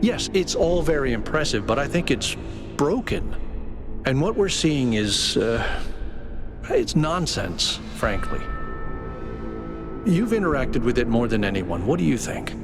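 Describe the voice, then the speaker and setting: A man's voice: medium pitch, big pitch swings, a little slow, pauses often. Worried careful colleague guy, earnest game dialogue, kinda hesitant, music behind him. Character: concerned, cautious male robot colleague, earnest and measured. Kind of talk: naturalistic video game voice acting.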